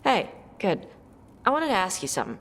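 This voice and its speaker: An actress playing a friendly, forthright young companion in a fantasy game, casual and direct. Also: female voice, medium pitch, sing-song, brisk, fairly bright tone. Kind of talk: video game dialogue acting.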